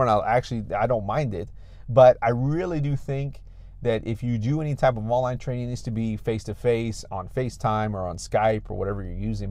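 A man's voice: mid-range voice, darkish tone, dry recording. American guy, fitness coach type, hammering his points over and over, dry close sound, motivational talk. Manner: emphatic, repetitive, exhorting. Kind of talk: motivational talk to an audience online.

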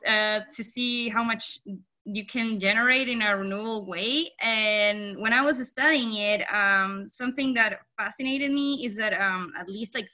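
A young woman with a Chilean Spanish accent, a Spanish speaker talking in English. Her voice is bright and sits a little high, and she talks with eager enthusiasm, full of fillers and easy laughter. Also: dull audio. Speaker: guest, design engineer. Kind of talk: casual interview chat.

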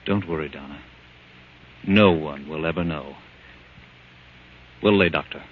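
A man's voice: very deep voice, a little slow. An actor playing an anxious, protective man with a guilty secret in 1940s radio drama style. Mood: soothing. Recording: muffled, humming old radio recording.

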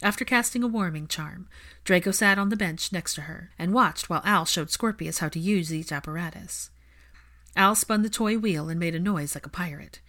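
A woman narrates fiction from a script. Her voice sits a little low for a woman, in the style of an audiobook reading. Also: normal speed, pushed and bright.

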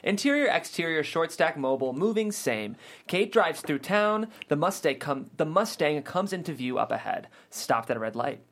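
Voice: mid-range voice, big pitch swings, normal speed, hardly any pauses, a bit bright. A narrator reading out stage directions at a comedy script table read.

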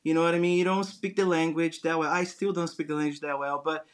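Brazilian man, forties, earnest guest, slightly high voice, Brazilian accent. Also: flat delivery, fast, a loud crowd. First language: Portuguese. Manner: earnest, storytelling, frequent restarts. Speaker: guest entrepreneur. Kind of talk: casual interview conversation.

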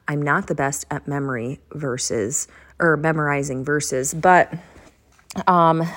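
A young woman with a notably low voice hosts a casual chat and prayer podcast. She sounds congested from a head cold. Her talk rambles along in a chatty way, full of fillers. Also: darkish tone. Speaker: podcast host.

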